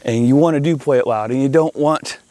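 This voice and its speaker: An upbeat man presents a casual walkthrough in the open air, with very little echo on his voice. He talks informally and directly to viewers, like an installer proudly showing his work. His pitch sits in the middle range for a man. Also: brisk, mellow. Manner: enthusiastic, informal, addresses viewers as guys. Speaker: audio-video installer presenting a project tour. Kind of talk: casual walkthrough vlog to camera. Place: outdoors in a backyard by a pool.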